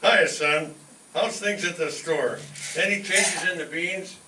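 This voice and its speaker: A man's voice: a little high, slow. An actor playing an eager, bossy, bean-obsessed father in old-time radio comedy style. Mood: upbeat. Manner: keeps muttering numbers while counting. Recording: echoey distant live stage, noisy room.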